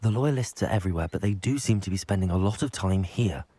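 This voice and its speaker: An actor playing a thoughtful, composed young student wizard in a video game, with a calm, questioning manner. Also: male voice, low-pitched, fast talker, dry recording, lightly acted. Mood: thoughtful, sceptical. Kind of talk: video game cutscene acting.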